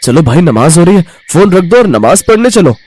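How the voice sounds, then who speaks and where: Man voicing a high-pitched earnest little boy. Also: sing-song, brisk, a little mellow, slightly rough, no echo, full character voice. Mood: urging. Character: ten-year-old boy, earnest, pious, dutiful son. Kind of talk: children's animated moral story acting.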